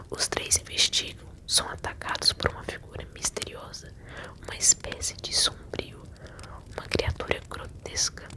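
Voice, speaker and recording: A woman with a Brazilian accent whispers a story in breathy, soothing ASMR style, speaking directly to the listener.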